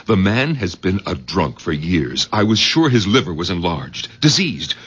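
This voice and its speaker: A man's voice: low voice, sing-song, normal speed, fairly bright tone, husky, heavily acted. An actor playing an overwrought, insistent doctor in a 1970s radio drama, speaking urgently and repeating his words in awe. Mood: fervent confession. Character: overwrought doctor son-in-law, awed and insistent. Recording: muffled old radio drama recording.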